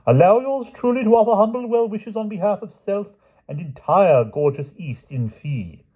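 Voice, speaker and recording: A man's voice: high-pitched, expressive, normal speed, husky, dull audio, voice pushed up. A man voicing a humble, wistful foreign knight who speaks in stilted, overly formal phrases.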